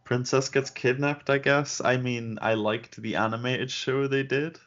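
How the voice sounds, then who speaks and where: A man's voice: mid-range voice, steady tone, a little slow, a bit bright, lo-fi sound. A man co-hosting a casual podcast chat, blunt and skeptical, swearing casually. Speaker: podcast co-host.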